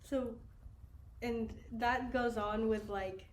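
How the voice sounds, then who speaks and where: A woman hosting a podcast who asks casual questions slowly and hesitantly, often pausing on filler words. Her voice sits at a mid pitch. She is recorded in an echoey room with faint background noise. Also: fairly even intonation. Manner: hesitant, uses many fillers. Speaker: podcast host.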